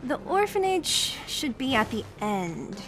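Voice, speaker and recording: A woman's voice: high-pitched, big pitch swings, slow, a bit bright, a little hiss or hum, full character voice. Blunt tough young woman. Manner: blunt, dry. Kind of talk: video game dialogue.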